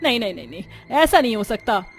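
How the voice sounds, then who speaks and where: Scolding older Indian mother-in-law played by a female narrator, high voice, fussy, exclaiming, story drama. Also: very animated, fast, faint music behind. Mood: indignant. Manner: exclaiming, complaining.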